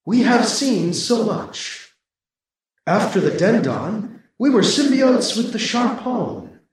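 A man doing a slow, ancient alien collective voice. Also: high voice, very animated, very echoey, recorded from a distance, pitched above the performer's own voice, exaggerated voice, low-quality recording. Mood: nostalgic. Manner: slow, solemn.

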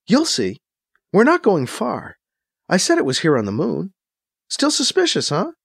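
A man acts out a sly, insistent woman in a science fiction audiobook. The voice is pushed up high and swoops about with a husky edge. She is teasing and sarcastic, quick to get exasperated. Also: wildly swooping pitch, no room sound, clean studio sound, heavily acted.

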